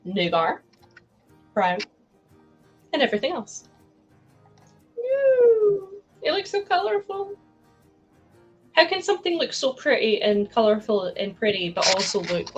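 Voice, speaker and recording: A woman's voice: medium pitch, creaky, a bit bright, rough recording. A Scottish woman from Scotland chatting casually on a live stream, giggling often as she answers her chat. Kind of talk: casual live-stream chat while sorting building parts.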